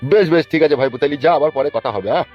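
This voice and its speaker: Man doing a chatty, quick-talking older village uncle. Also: fairly high pitch, soft background music, close to an everyday voice. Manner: familiar, inquisitive. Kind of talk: cartoon comedy acting. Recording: dull lo-fi cartoon audio.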